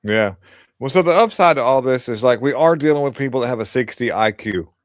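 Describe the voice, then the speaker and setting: A man's voice: mid-range voice, animated, a little fast, no echo, studio quality. A man hosting a casual political livestream, talking bluntly and crudely with lots of emphasis. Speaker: livestream host.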